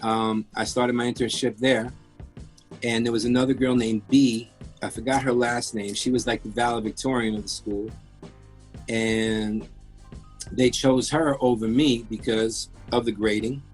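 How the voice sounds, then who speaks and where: A man's voice: mid-range voice, level tone, unhurried, soft background music. A man in his fifties, born in France, telling his career story as a guest in a casual interview, candid and rambling with many restarts. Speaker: guest, music engineer.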